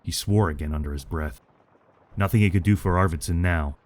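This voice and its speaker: A male narrator reads a military adventure with dramatic, scripted delivery. His voice is low-pitched, and he keeps a measured, grave storytelling manner.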